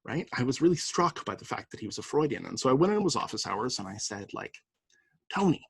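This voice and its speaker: A man's voice: medium pitch, sing-song, fast talker, gravelly, husky, no room sound, studio quality. A man with a Canadian accent giving a university lecture in a conversational, anecdotal way, full of fillers and rhetorical questions.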